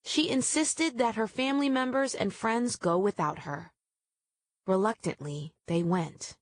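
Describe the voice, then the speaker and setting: A woman storyteller reading a scripted children's story aloud in deliberate, measured phrases. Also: a little high, dry recording, clean studio sound.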